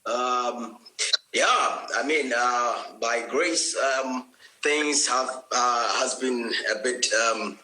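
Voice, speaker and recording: A man's voice: mid-range voice, drawn-out words, sharp tone, reverberant, slight background noise, low-quality recording. A man from Ghana, a guest evangelist on an online chat, speaking hesitantly with many fillers and restarts.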